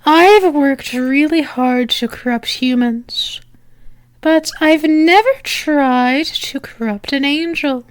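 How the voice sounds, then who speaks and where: A woman playing a teasing, lovestruck female demon in an intimate ASMR roleplay, speaking haltingly with fond endearments. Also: high voice, dry recording. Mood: teasing, proud.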